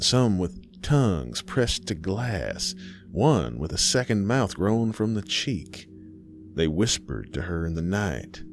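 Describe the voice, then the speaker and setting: A man telling a scripted horror tale as a folksy fireside storyteller and host, with dramatic pauses. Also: deep voice, slight background noise.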